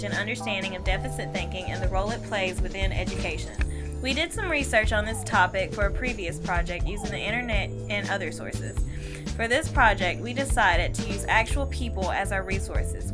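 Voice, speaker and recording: A young woman student reading a scripted project introduction in an even, read-aloud manner. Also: mid-range voice, brisk, loud music behind the voice. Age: young adult. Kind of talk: scripted narration.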